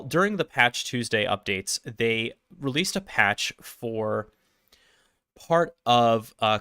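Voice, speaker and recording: A man's voice: medium pitch, fairly bright tone, no echo. A man hosting a casual tech news livestream, sniffly with a cold, chatty and laughing between points on a close mic. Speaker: livestream host.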